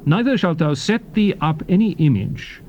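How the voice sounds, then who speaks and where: An actor playing a solemn biblical lawgiver, declaiming scripture to his people in a formal, measured theatrical style. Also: sits high, expressive, highs cut off. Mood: stern, commanding. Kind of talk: dramatized scripture reading, formal theatrical delivery. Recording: faint noisy ambience, cassette tape recording.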